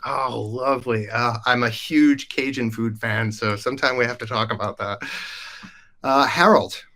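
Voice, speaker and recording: A man's voice: mid-range voice, fairly even intonation, normal speed, pushed and bright. A man hosting a live podcast, chatty and upbeat, introducing colleagues. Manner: upbeat, chatty, with frequent fillers. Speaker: host. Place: Denmark.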